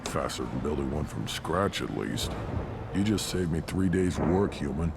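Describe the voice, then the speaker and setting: An actor voicing a cocky, gruff alien mechanic in a video game, blunt and boastful. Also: male voice, extremely low pitch, moderate pace, heavy background noise. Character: gruff cocky alien warrior-race mechanic, adult male. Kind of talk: video game dialogue acting.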